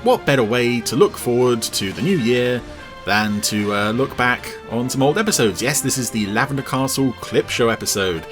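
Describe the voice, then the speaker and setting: Lively man commentating over music. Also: medium pitch, moderate pace. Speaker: podcast episode commentator. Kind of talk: casual commentary over a cartoon.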